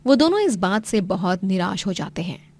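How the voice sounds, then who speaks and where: A woman's voice: mid-range voice, sing-song, normal speed, darkish tone, no echo, clean studio sound. A woman narrator reading a scripted moral story.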